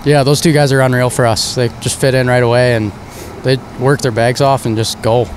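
A man's voice: mid-range voice, little pitch movement, a little fast, slight background noise. A young man, a hockey player, giving short laid-back postgame answers full of "kinda" beside the rink. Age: young adult. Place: indoor hockey arena rinkside. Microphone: handheld mic held by interviewer.

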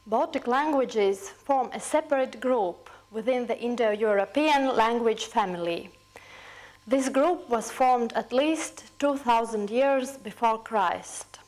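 A young woman explains on camera, recorded indoors on a lapel microphone. Her voice sits a bit high, and she speaks slowly and carefully, one point at a time. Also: a little mellow, low-quality recording. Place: indoor room. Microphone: lapel mic.

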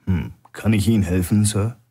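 A man voicing a stout, stern mall security guard who talks in a deliberate, firm, suspicious way. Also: fairly low pitch, fairly even intonation, dark tone, slightly rough. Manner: deliberate, insistent, polite but firm.

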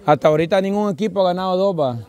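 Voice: mid-range voice, very fast, darkish tone. Someone with a Salvadoran accent giving casual, exclamatory, teasing play-by-play outdoors. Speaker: camera operator and commentator. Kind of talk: casual play-by-play commentary and chat. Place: outdoors in a wooded park with dirt ground.

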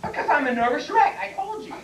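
A nervous, blustering patient acted by a man stammers and objects his way through an amateur stage comedy. His pitch swings widely. He is heard from far off in an echoey classroom. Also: animated, fast talker, creaky, fairly bright tone, full character voice. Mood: flustered, eager. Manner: stammering, protesting, comic exaggeration. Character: nervous, evasive male patient at a medical exam, blustering. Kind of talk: amateur comic stage acting. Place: classroom stage before small audience, far camera. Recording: distant, echoey, noisy camcorder in classroom.

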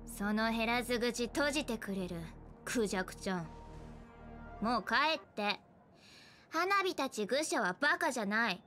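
A woman's voice: a little high, expressive, moderate pace, a bit bright, faint music behind. A woman playing a mischievous, taunting young girl trickster in an anime game, with a sweet girlish voice. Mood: scornful. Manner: teasing, mocking, coy. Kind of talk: Japanese anime game voice acting.

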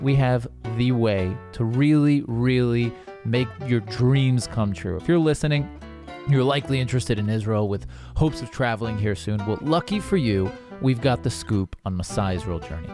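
Podcast host reading an upbeat ad. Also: male voice, medium pitch, moderate pace, mellow. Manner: upbeat, emphatic ad read. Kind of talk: podcast hosting and read ad. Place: home studio with bookshelves. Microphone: studio mic on boom arm, headphones. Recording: music under the voice.

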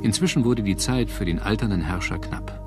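A man reading scripted documentary narration in a measured, formal manner. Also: lowish voice. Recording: music bed under narration. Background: background music.

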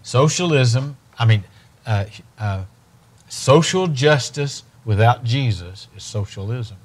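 A man's voice: medium pitch, expressive, slow pace. An older man, a ministry leader on a TV studio set, speaking earnestly and repeating key phrases for emphasis. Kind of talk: earnest interview talk.

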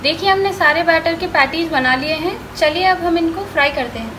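A woman host giving calm step-by-step recipe instructions in a voice-over, mixing in English cooking words. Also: extremely high pitch, fairly quick. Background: background music.

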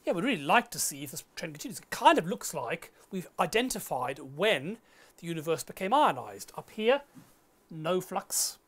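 A man's voice: a little high, sing-song, normal speed, fairly bright tone. Middle-aged male lecturer explaining step by step. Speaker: astronomy lecturer. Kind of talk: conversational science lecture in a two-person dialogue.

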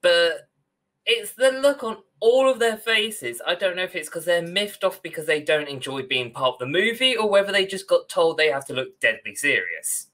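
A young British man with a bright, forward voice talks as a podcast co-host. He is informal and opinionated, rambling on in long, chatty stretches. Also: high voice, expressive, normal speed. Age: young adult. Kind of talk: casual ranting discussion. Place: indoor room at home, on video call.

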